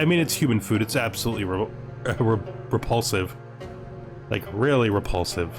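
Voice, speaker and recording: A man giving casual let's-play commentary, thinking aloud in short remarks and at times reading game text out. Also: on the high side. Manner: thinks aloud in short remarks, role-playing along in the first person. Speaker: gaming video host playing and commenting.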